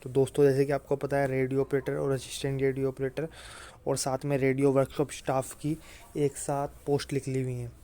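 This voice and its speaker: A man's voice: medium pitch, monotone, fairly quick, mellow. A man giving an informal explainer to students as a channel host, reassuring and often adding little check-in questions. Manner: reassuring, repeatedly checks understanding with tag questions. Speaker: exam-prep channel host.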